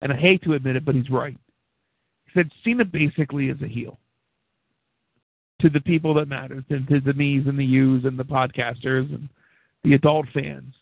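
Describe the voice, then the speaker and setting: A man's voice: mid-range voice, no room sound. Man co-hosting on a thin, tinny line. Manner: agreeable, chimes in with short replies. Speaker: radio show co-host. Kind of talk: casual wrestling chat. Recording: tinny phone-like sound, dry.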